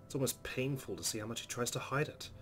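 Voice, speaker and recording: A man reading first-person visual novel narration aloud as a let's player, in an earnest, expressive storytelling style. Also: medium pitch, fast talker, a little hiss or hum. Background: soft game music.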